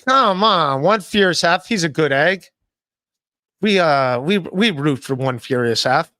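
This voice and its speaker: A man's voice: fairly high pitch, lively intonation, measured pace, bright, forceful tone, no echo. A man in his forties hosting a casual podcast from home on a studio mic, reading chat messages and joking about himself. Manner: self-deprecating, reads chat messages aloud. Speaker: podcast host. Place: home room, indoors. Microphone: studio mic with headphones, video call.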